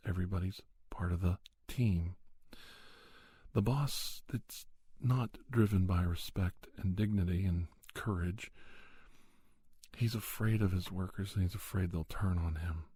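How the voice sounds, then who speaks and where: Male ASMR podcast host, low husky voice, reflective and measured monologue delivery, close and dry. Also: mellow, no room sound.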